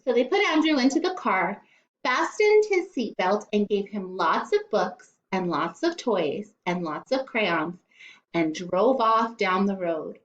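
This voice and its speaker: A woman teacher reading a storybook aloud to children in an expressive way, with playful asides. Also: medium pitch, a little slow. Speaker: primary school teacher. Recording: echoey, slightly dull room recording.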